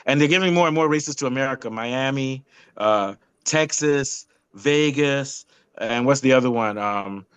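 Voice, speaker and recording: A man co-hosting a motorsport podcast, talking bluntly in an animated rant full of restarts. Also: medium pitch, unhurried, clear, resonant tone, no room sound. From not European. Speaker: podcast co-host. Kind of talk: casual opinionated chat.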